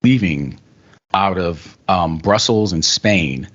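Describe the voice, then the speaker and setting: A man's voice: low-pitched, takes it slowly, fairly bright tone. A man hosting an interview show who chats jovially and laughs often as he welcomes his guest. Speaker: podcast host. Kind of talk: casual interview chat. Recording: dull lo-fi recording.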